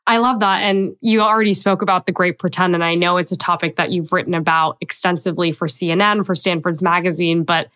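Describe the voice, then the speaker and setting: A woman's voice: on the low side, steady tone, fast talker, hardly any pauses, fairly bright tone. A woman hosting a podcast, affirming her guest and asking long, carefully framed questions. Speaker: podcast host. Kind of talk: interview questions.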